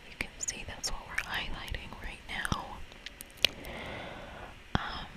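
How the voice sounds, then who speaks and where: Slow, whispering ASMR game host. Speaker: host playing a video game.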